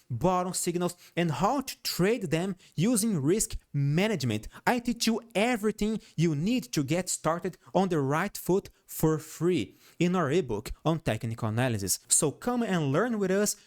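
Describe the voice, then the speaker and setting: A Brazilian man with a Portuguese accent, a non-native English speaker hosting stock chart analysis, who often repeats himself and adds "all right?" to his points. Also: high-pitched. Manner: animated, repeats and restarts phrases, frequent "all right?" tag. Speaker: host.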